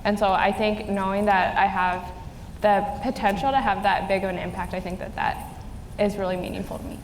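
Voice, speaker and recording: A woman's voice: mid-range voice, little pitch movement, a little fast. A young woman from New Mexico, a student panelist, answering in an articulate, composed way. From New Mexico, USA. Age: young adult. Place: event stage in a large hall. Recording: roomy hall with faint noise.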